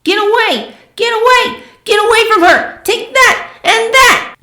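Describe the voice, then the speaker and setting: Storybook filly pupil played by a woman: young, brave, excitable, very high and loud, earnest. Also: unhurried, heavily acted. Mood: fierce. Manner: shouting, indignant, earnest.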